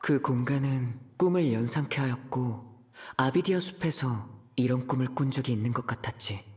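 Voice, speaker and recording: A man playing a composed young traveler in a game, speaking calmly and reflectively. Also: medium pitch, normal speed, natural voice. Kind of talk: scripted game character monologue.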